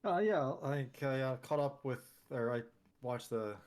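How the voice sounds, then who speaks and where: A man's voice: medium pitch, lively intonation, unhurried, a little mellow. Laid-back male podcast co-host. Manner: laid-back, filler-heavy. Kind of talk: casual chat.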